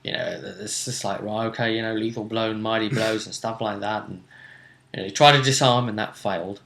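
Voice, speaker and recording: A British man hosting a tabletop role-play vidcast, recapping a game casually in a halting way, repeating himself and trailing off. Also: fairly low pitch, steady tone, fairly bright tone. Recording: roomy, echoing sound.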